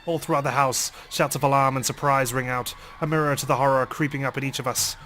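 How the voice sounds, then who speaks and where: A man's voice: medium pitch, fairly even intonation, fast, sharp tone, soft background music. A man reading a visual novel aloud as a let's play host, in dramatic, theatrical first-person narration.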